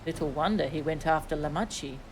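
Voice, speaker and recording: A woman's voice: low voice, a little fast, a little hiss or hum, low-quality recording. A woman voicing an eager, talkative merchant from a fantasy game, with a dramatic, salesy manner.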